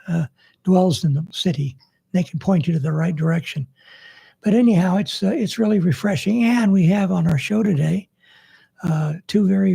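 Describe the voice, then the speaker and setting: Hoarse man co-hosting a radio talk show. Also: high voice, dark tone. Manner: halting, many fillers. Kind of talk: casual radio chat. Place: radio studio.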